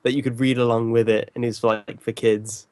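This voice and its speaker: A man's voice: mid-range voice, little pitch movement, fairly quick, darkish tone, clear, resonant tone, dry recording. A man chatting casually in a jokey, self-deprecating way. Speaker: livestream guest and voice performer. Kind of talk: casual chat.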